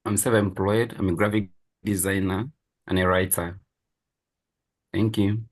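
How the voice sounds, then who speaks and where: A man's voice: low voice, flat delivery, measured pace. A man briefly introducing himself as a participant on a video call. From Nigeria. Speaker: training participant.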